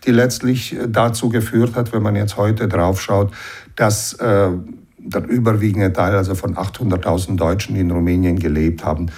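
A man in his sixties from the Banat in western Romania, explaining and recollecting as an interview guest in a measured way, often adding a short tag to his sentences. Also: on the low side, level tone, darkish tone, breathy edge. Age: 60s.

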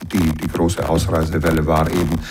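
A middle-aged man from the Banat in western Romania, answering interview questions in a measured, explanatory way with frequent fillers. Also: a bass voice, monotone, over music. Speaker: interview guest.

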